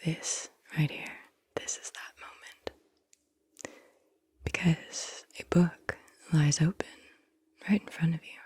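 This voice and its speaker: A woman whispering softly and breathily as she hosts a soothing, deliberate ASMR guided visualization. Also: sits low, steady tone, husky, no room sound. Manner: soothing, slow and deliberate, repeats words softly. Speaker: ASMR host guiding visualization exercises.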